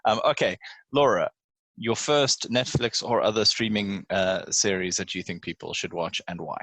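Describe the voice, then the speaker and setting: A man's voice: lowish voice, moderate pace, a bit bright, dry recording, rough recording. A man hosting a casual podcast chat in an irreverent, wry way, with some casual swearing. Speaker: podcast host.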